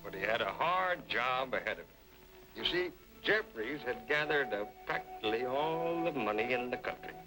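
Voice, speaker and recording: An actor playing a folksy, plainspoken storyteller recounting a legend in an old-time radio western. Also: male voice, mid-range voice, lively intonation, moderate pace, close to an everyday voice, poor audio. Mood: solemn storytelling. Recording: old radio drama, faint music.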